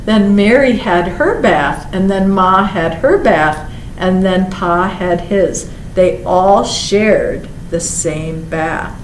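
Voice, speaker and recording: A woman reading a children's picture book aloud as a library storyteller, expressive, drawing out words and adding small asides. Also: medium pitch, slow. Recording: roomy echo with faint background noise.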